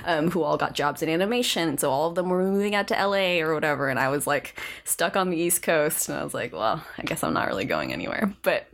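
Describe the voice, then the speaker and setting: A woman guest from Connecticut who was born in Korea speaks in a lowish voice that moves up and down a lot. She talks in a laid-back way, full of fillers and easy laughter. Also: lively intonation, fast talker, vocal fry, hardly any pauses. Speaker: podcast guest, illustrator. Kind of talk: casual interview chat.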